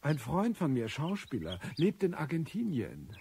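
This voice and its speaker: Chatty woman, swooping wildly in pitch. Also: wildly swooping pitch, a little slow, vocal fry, dark tone, soft background music, full character voice. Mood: gossipy. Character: chatty, complaining woman airing smug everyday prejudices. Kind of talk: radio drama dialogue.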